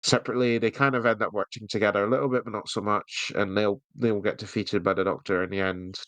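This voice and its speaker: A man with a fairly low voice co-hosts a casual review podcast. He is jokey and often laughs through his words. The sound is dry, with very little room on it. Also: level tone, quick pace, a bit bright, no echo. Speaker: podcast co-host. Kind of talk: casual chat reviewing an audio drama.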